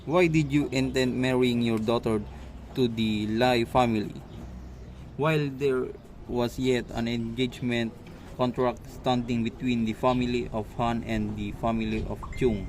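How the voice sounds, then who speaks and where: Man playing stern, slow-spoken magistrate. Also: medium pitch, fairly even intonation, a little mellow, slight background noise, close to an everyday voice, rough recording. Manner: formal, deliberate, interrogating. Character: stern, commanding magistrate questioning witnesses. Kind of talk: amateur radio drama acting.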